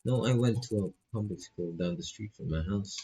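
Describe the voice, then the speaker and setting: Man reading as a reserved new schoolgirl, low, gravelly. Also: normal speed, dark tone, faint music behind. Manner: hesitant, understated. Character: reserved teenage girl, new boarding school student. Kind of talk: visual novel dialogue read aloud.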